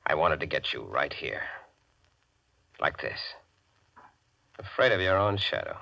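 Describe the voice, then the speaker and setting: A man's voice: extremely low pitch, big pitch swings, gravelly, bright, forceful tone, muffled recording, heavily acted. An actor playing a sly, mocking tough guy with a menacing, taunting manner in a 1940s radio mystery. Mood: quiet menace. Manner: taunting, deliberate. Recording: band-limited 1940s radio broadcast with music.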